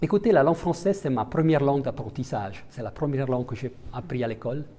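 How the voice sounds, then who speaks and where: Hesitant diplomat, mellow voice. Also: male voice, mid-range voice, quick pace. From Italian-speaking Switzerland. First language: Italian. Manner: hesitant, many fillers and restarts. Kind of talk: interview answers.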